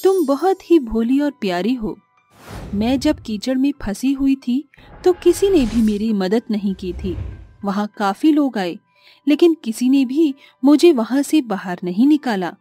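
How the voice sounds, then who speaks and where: A woman voicing a kind, grateful doll fairy in an animated moral story, gentle and measured. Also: a little high, faint music behind.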